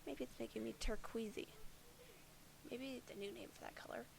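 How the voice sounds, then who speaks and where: A woman's voice: a little high, fairly even intonation, moderate pace, gravelly, noisy background. A woman voicing a bitter, foul-mouthed alien troll boy who sees himself as a prophet of doom, sardonic and defensive.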